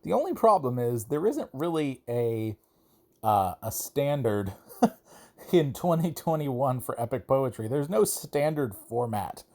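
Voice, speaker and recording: A man's voice: medium pitch, sing-song, unhurried, darkish tone. Conversational man hosting a solo podcast. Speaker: podcast host. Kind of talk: casual solo podcast monologue.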